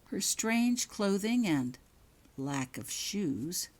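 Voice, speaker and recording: A woman voicing a coldly controlled, menacing male headmaster in a story, speaking softly and slowly, near a whisper, with weighted pauses. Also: mid-range voice, sing-song, pitched above the performer's own voice, heavily acted. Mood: stern, quiet. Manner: slow, deliberate, with weighted pauses.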